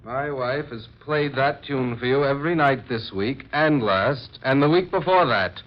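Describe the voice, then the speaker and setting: Mysterious gentleman, male actor, formal and coldly polite, very slow delivery, vintage 1940s horror radio with dulled sound. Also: mid-range voice, soft background music, lightly acted. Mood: icily accusing. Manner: measured, formal, suave. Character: mysterious masked gentleman, icily polite, quietly menacing wronged husband. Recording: muffled 1940s radio recording.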